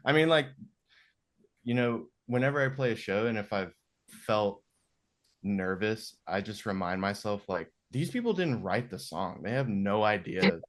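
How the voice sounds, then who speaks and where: A man in casual podcast chat, relaxed and a little hesitant, who plays bass in a band. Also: measured pace.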